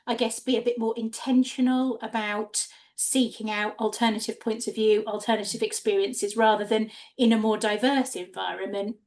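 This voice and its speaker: A woman's voice: fairly high pitch, darkish tone. A woman, a counsellor, speaking hesitantly and reflectively as a workshop participant. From Norfolk, England. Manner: hesitant, reflective, many ums.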